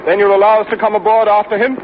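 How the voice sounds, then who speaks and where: An actor playing an urgent, authoritative police inspector from Scotland Yard in 1950s radio drama style. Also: male voice, high voice, little pitch movement, rapid-fire, lightly acted. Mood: brisk, commanding. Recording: noisy, dull old radio recording.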